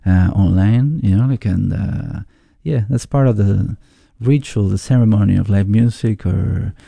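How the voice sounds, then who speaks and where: Gravelly Argentine-accented man, dark voice. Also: fairly low pitch, dry recording. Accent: Argentine Spanish. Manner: thoughtful, halting, searching for words. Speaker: musician guest. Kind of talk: casual interview conversation.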